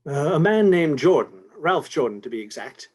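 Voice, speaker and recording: An actor playing a fussy, anxious store executive in a 1950s-style radio play, speaking formally with polite hesitations. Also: male voice, a little high, sing-song, normal speed, husky. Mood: worried, guarded.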